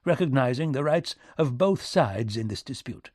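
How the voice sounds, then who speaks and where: A man's voice: mid-range voice, animated, normal speed, darkish tone, no echo, clean studio sound, voice pushed up, natural voice. A man voicing a courteous aristocratic diplomat in an audiobook, speaking formally and stiffly. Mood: stiff, defensive.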